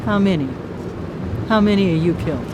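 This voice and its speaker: An actress playing a brazen, needling hitchhiker turned vigilante avenger in a live radio drama, sardonic and in control. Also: female voice, mid-range voice, animated, a little fast, background noise, natural voice. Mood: mocking, commanding. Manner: taunting, sardonic, cool and in control.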